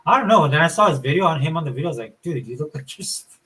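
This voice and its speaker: A man's voice: on the high side, lively intonation, racing, fairly bright tone, breathy edge. A man with a somewhat whiny voice chatting casually on a podcast, loose and informal, saying like and dude often. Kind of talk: casual chat.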